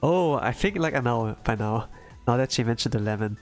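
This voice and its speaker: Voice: medium pitch, animated, a little fast. A streamer talking casually while reading a game story aloud and reacting to it on a livestream. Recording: background music under the voice. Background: music.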